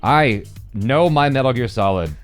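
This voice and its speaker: A man hosting a reaction show, chatting casually in an animated, enthusiastic way. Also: mid-range voice, measured pace, soft background music. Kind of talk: casual excited chat.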